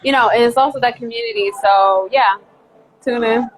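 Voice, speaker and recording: A woman's voice: a little high, moderate pace, slight background noise, poor audio. A woman guest on a video call chat, speaking earnestly with many fillers. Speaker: guest, business workshop presenter. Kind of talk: casual chat.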